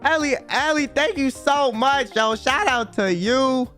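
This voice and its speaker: A man's voice: very high voice, measured pace, bright, forceful tone. A young man streaming a casual late-night chat, rambling in slang and reading donations aloud. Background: faint background music.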